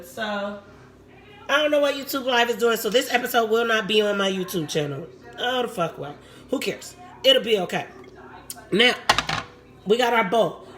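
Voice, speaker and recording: A woman with a raspy voice, hosting a casual live cooking demo from her home kitchen and chatting with her viewers as she works. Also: medium pitch, slight background noise. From Puerto Rico.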